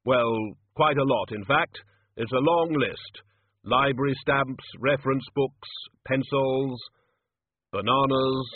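Guy doing storybook grim reaper playing santa, slow n awkward, very literal, husky, muffled sound. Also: mid-range voice, no room sound, voice pushed up. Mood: solemn, careful. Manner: slow, hesitant, literal-minded.

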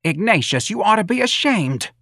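Audiobook role: plaintive older working-class mother, acted by a man, high-pitched and swooping, self-pitying nag. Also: lively intonation, normal speed, fairly bright tone, dry recording, studio quality. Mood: angry, wounded. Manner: folksy ungrammatical talk, plaintive.

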